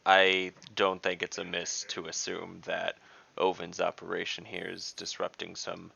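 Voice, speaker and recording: A man chatting casually as a player in a tabletop game, low-key, halting and matter-of-fact, over an online call. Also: monotone, gravelly, bright, forceful tone, breathy edge, dull audio.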